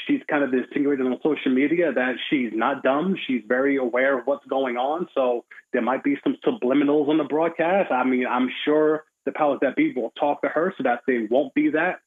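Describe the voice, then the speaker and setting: A man giving opinionated, measured sports commentary as a guest, in colloquial conversational phrasing, over a tinny phone-like line. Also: mid-range voice, husky.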